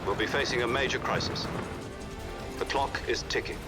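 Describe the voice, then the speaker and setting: Firm military officer over loud music, man acting. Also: medium pitch, steady tone, moderate pace, close to an everyday voice. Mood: firm, urgent. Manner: firm, instructional. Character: commanding male military officer issuing mission orders. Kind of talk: dramatic video game mission briefing.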